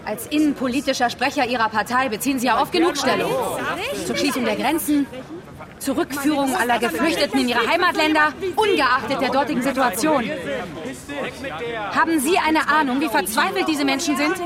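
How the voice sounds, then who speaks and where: A woman's voice: high voice, fairly quick, a loud crowd, lightly acted. A woman playing an earnest, confrontational character in a radio drama, speaking in an insistent and pointed way. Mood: accusing. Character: earnest, confrontational woman moved by refugees' plight. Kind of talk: naturalistic German radio drama dialogue.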